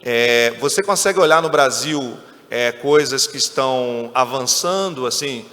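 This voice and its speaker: Man moderating a panel, bright tense tone, long hall reverb. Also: mid-range voice, a little slow. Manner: conversational, leading questions with frequent "né". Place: conference stage.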